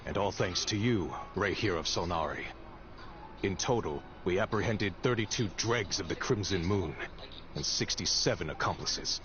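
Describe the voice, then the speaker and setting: A man's voice: low voice, moderate pace, lightly acted. An actor voicing a solemn, loyal agent of a king in a video game, formal and grandiose in delivery. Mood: proud, solemn. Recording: dull game audio under loud music.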